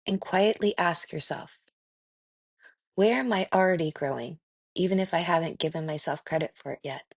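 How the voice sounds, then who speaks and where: A woman leading a guided meditation in a gentle, soothing, unhurried way with pauses. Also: fairly low pitch, no echo.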